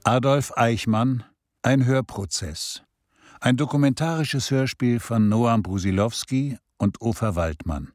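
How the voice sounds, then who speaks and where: An actor playing a sober Israeli radio journalist, narrating a documentary radio play in a measured, deliberate way. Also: male voice, medium pitch, no room sound, natural voice.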